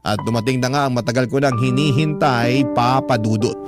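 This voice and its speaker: A man's voice: medium pitch, steady tone, a little fast, close to an everyday voice. A man with a Filipino accent playing an earnest young man who tells his own heartache in confiding, sentimental radio drama narration. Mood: hopeful, eager. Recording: narration over loud music bed. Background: prominent music.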